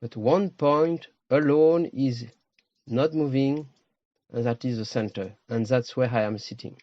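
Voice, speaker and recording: Low-voiced man guiding a meditation. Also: dry recording, lo-fi sound. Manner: slow, pausing, short phrases. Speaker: meditation teacher. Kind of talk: guided meditation.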